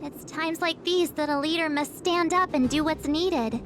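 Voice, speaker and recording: A woman playing an expressive, loyal and curious teenage girl adventurer in a video game. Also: high voice, moderate pace. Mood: earnest. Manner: exclamatory and expressive. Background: game music.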